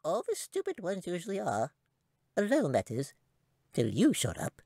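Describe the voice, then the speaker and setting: Squeaky old-school storybook chipmunk, high pitched, tired n cranky, always warning and scolding. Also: male voice, wildly swooping pitch, moderate pace, vocal fry, mellow, no echo, studio quality, full character voice. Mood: sardonic. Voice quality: squeaky, little. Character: weary, cranky, fat little male talking chipmunk. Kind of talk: audiobook character voice.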